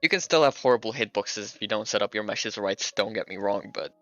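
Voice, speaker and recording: A man's voice: fairly low pitch, lively intonation, a little fast, sharp tone, no echo, lo-fi sound, soft background music. A man from Hungary, a native Hungarian speaker, chatting casually on a livestream while he works, thinking aloud and laughing often. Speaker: streamer and game developer.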